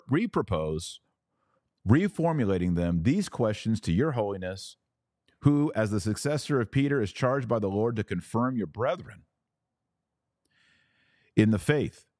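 A man's voice: fairly low pitch, a little mellow, dry recording, clean studio sound. A man hosting a podcast, reading documents aloud with emphasis and adding informal, colloquial explanations as commentary.

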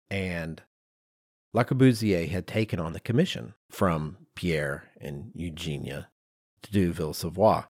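A man with a lowish voice hosts a design talk in a casual, storytelling manner. His pitch moves around a great deal as he explains and acts out little asides. The sound is dry and close. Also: slightly rough, dry recording. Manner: informal, animated storytelling with acted-out asides. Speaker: podcast host. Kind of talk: conversational explainer of design history.

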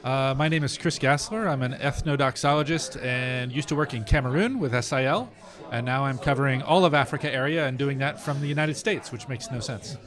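A man with a mid-range voice who talks about his music background with dry, self-deprecating humor. His delivery is plain and relaxed in tone. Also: medium pitch, a little fast. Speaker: arts advocate.

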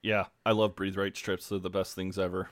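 A man's voice: deep voice, flat delivery, fairly quick, dry recording. A man co-hosting a podcast in casual chat, swearing freely and laughing at himself, congested. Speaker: podcast co-host.

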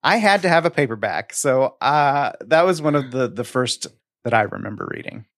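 Middle-aged man, measured, very dry sound. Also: mid-range voice, expressive, a bit bright, no echo. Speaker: podcast co-host. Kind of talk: casual reminiscing about books.

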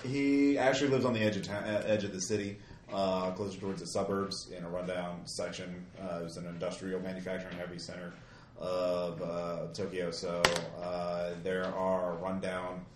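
A man running a tabletop game as game master, talking haltingly with many fillers and restarts. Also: deep voice, flat delivery. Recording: echoey room, faint noise.

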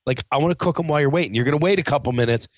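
A man's voice: on the high side, racing, dry recording. Man, chef, stuffed up, rambling with fillers, dry close sound, slightly muffled. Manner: rambling, frequent fillers and restarts. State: stuffed up from a cold. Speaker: chef and podcast host. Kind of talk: casual spoken recap.

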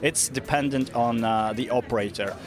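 A man from Russia with a Russian accent, giving measured, explanatory interview answers in a non-native language, often pausing with "let's say". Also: mid-range voice, a crowd in the background. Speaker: interviewed security researcher. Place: conference event venue.

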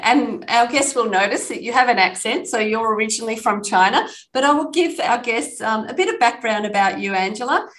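A woman's voice: on the high side, fairly bright tone. A woman hosting a podcast interview, enthusiastic and effusive in her praise. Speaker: podcast host.

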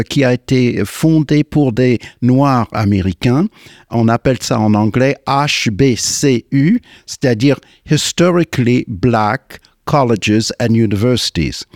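Slow older man with American accent. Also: medium pitch, a little mellow, no room sound, studio quality. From United States. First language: English. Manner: slow, hesitant, many fillers and restarts. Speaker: guest. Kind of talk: radio interview. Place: radio studio.

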